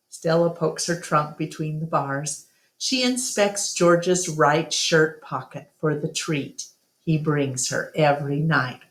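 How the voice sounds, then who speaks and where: A woman reading a storybook aloud as narrator, deliberate and expressive. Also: sits low. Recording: echoey, reverberant room.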